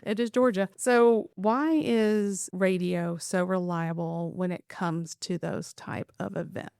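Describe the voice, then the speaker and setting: A woman's voice: lowish voice, steady tone, takes it slowly, creaky, a little mellow, smooth, dry recording, clean studio sound. Chatty woman interviewer. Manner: friendly, chatty, curious. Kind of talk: casual interview talk.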